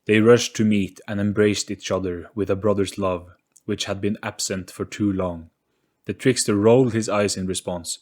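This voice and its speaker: Low, husky male storybook narrator. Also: fairly even intonation, normal speed. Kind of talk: dramatic scripted storybook narration. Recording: faint music behind narration. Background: soft background music.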